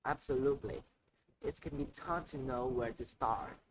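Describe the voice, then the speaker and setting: A man's voice: mid-range voice, natural voice. A man playing an eager, stumbling finance guest. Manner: halting, stumbling restarts. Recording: noisy ambient background.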